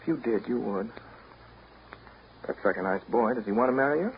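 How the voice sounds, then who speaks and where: An actor in a 1930s radio drama playing a weary, tender but blunt man, measured and wry. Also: medium pitch, lively intonation, vocal fry. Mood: wry, impatient. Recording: dull, noisy 1930s radio broadcast recording.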